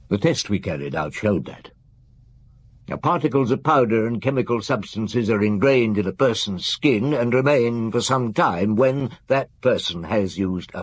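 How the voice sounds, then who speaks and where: A man playing a weary, thoughtful middle-aged chief police inspector in a radio drama, questioning in an unhurried way with murmurs and sighs. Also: lowish voice, sing-song, slightly rough, no echo, exaggerated voice. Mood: pensive, troubled.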